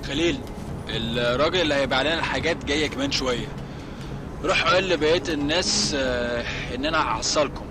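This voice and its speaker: Game casting: man as hurried lookout, extremely quick delivery, clear street noise behind. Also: medium pitch, natural voice. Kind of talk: video game voice acting. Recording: noisy outdoor ambience with vehicles.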